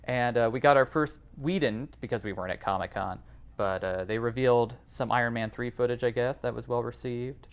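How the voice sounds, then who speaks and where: A man's voice: medium pitch, fairly quick, clean tone. Casual male podcast host. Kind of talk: casual panel discussion.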